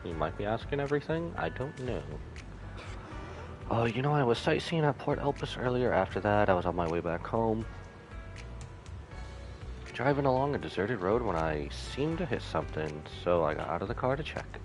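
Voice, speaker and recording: A man streaming a game, reading dialogue aloud in a casual, halting, low-key way. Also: lively intonation. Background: music.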